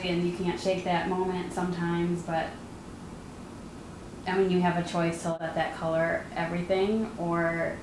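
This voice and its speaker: A woman interviewer speaking thoughtfully and in measured phrases about a novel. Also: lowish voice. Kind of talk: casual interview conversation. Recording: roomy with background noise.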